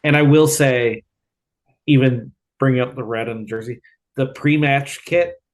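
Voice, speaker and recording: A man's voice: mid-range voice, a little slow. Laid-back man hosting a podcast. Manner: relaxed, slangy and upbeat. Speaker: podcast host. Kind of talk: casual chat.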